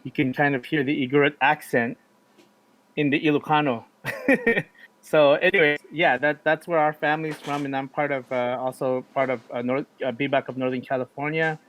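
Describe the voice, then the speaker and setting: A man's voice: on the high side, fairly even intonation, moderate pace, a bit bright, low-quality recording. Man chatting loosely, full of fillers. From United States. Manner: rambling, many fillers and restarts. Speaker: podcast co-host. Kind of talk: casual chat.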